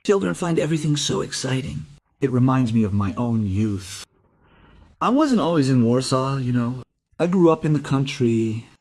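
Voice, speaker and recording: A man's voice: medium pitch, lively intonation, normal speed, darkish tone, close to an everyday voice. An actor playing a lonely, evasive man in his seventies, rambling politely under questioning in an audio crime drama. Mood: wistful. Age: 70s.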